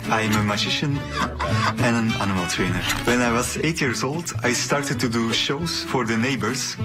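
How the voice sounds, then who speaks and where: A man introducing himself in a recorded narration as a magician. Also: medium pitch. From Belgium. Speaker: magician and animal trainer. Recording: loud music under voice. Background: loud music.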